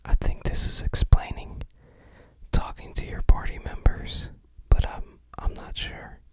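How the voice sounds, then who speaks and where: An ASMR creator whispering unhurried, musing, slightly hesitant commentary while showing items to the viewer. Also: a little mellow.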